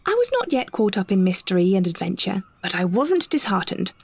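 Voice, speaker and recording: A British woman reads a scripted first-person story with measured, expressive phrasing and careful delivery. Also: medium pitch, husky. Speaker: narrator, solo performer of an audio story.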